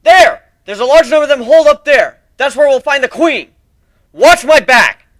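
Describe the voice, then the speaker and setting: A man's voice: extremely high pitch, lively intonation, normal speed, no echo, voice pushed up. A man voicing a brash, sardonic warrior leader who barks mocking commands in a fantasy story. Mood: shouting, fierce. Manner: commanding, mocking, shouts orders.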